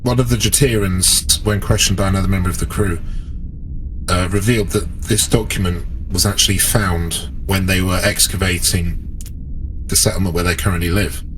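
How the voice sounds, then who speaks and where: Guy playing earnest sci-fi first officer type, deep voice, formal and respectful, bit of background noise. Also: fairly even intonation, moderate pace, a bit bright, poor audio. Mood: thoughtful. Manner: formal, deferential, reasoning aloud. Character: earnest, principled male starship commander advising his captain. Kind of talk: improvised sci-fi tabletop roleplay.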